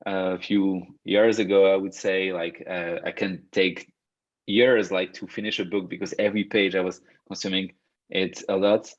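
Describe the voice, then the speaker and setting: Young Belgian man, French accent, deep voice, hesitant coach on a dull-sounding call. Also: little pitch movement, moderate pace. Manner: hesitant, many fillers and restarts. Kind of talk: hosting a video-call book club. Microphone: video call. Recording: dull lo-fi video call audio.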